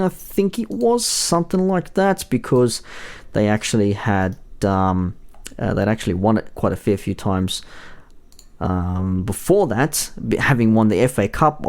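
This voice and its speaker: A young man hosting a solo football preview podcast, his voice hoarse and husky from yelling in a bar, rambling with lots of fillers and self-corrections. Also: on the low side. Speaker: podcast host.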